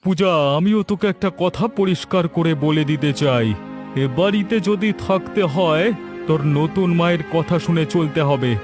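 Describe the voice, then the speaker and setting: A man's voice: on the high side, normal speed, a little mellow, soft background music, lightly acted. A man playing a stern, domineering village father in a cartoon drama, scolding and giving orders. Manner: scolding, commanding.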